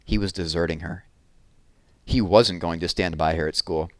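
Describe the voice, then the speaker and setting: A man reading a children's novel aloud with expressive storytelling. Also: deep voice, normal speed, no echo, studio quality. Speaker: reader.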